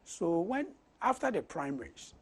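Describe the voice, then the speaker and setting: A Ghanaian man with a husky voice and Ga as his mother tongue, answering interview questions in deliberate short phrases. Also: on the high side, very animated. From Osu, Accra, Ghana. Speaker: parliamentary candidate being interviewed. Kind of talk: conversational political interview.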